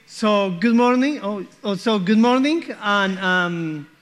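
Man, high-pitched, missionary testimony, earnest, checks in with the audience. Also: expressive, slow pace, fairly bright tone. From Colombia. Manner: earnest, repeats "okay?" and "hmm?" to check in. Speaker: guest missionary speaker. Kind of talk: church testimony.

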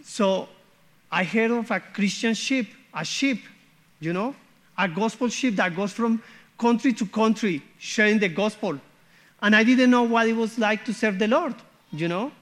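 A man's voice: high voice, unhurried, fairly bright tone. A man, sharing his church testimony as a guest missionary in an earnest way that often checks in with "okay?" and "hmm?". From Colombia.

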